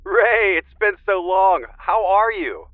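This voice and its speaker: Easygoing Zen teacher, band-limited call. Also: male voice, high-pitched, expressive, takes it slowly, no echo, phone-quality sound. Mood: cheerful. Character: mellowed male ex-contestant turned Zen teacher, gently teasing. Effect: remote call filter. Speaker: podcast guest. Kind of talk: audio drama phone interview.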